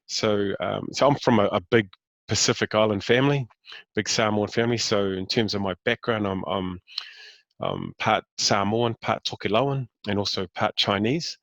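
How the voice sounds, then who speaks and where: Low-voiced New Zealand man in his fifties. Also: normal speed, fairly bright tone, no echo. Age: 50s. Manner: hesitant, many fillers and restarts. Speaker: interview guest. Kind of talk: casual interview talk.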